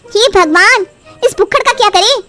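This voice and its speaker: A woman voicing a mischievous village child in a cartoon horror tale, with an excitable, exclamatory delivery. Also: very high voice, normal speed, faint music behind, pitched above the performer's own voice. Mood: arguing. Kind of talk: animated horror fairy-tale acting.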